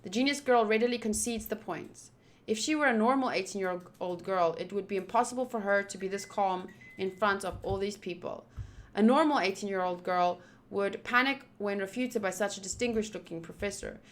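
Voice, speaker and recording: A woman's voice: mid-range voice, brisk. A woman hosting a visual novel playthrough, reading the text aloud expressively and breaking off into wry, informal asides. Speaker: gaming video host.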